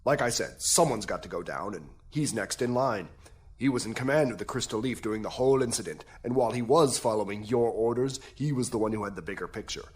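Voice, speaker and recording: A man playing a smooth-talking crown prosecutor in an audio drama, wry, insinuating and theatrical. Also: fairly low pitch, brisk, lightly acted. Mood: insinuating, cool.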